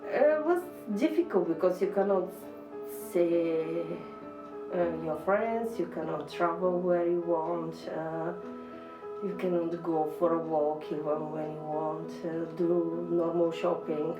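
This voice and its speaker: A roomy, distant recording of a Bulgarian-accented woman talking informally at a slow pace, with music playing behind her. She uses simple phrasing with short pauses, as a non-native speaker. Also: lowish voice, steady tone. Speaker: dressmaker being interviewed. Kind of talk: informal personal account to an interviewer.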